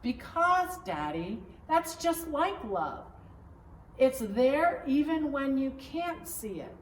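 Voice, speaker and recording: A woman's voice: high voice, very animated, takes it slowly, exaggerated voice. A woman voicing an eager, curious little girl in a picture-book read-aloud. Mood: earnest, tender. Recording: roomy, distant mic, faint background noise.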